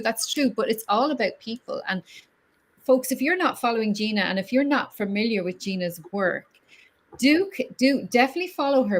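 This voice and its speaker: A middle-aged woman hosting a live online chat, chatty and friendly as she reads out viewer comments on a video call. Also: mid-range voice. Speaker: host.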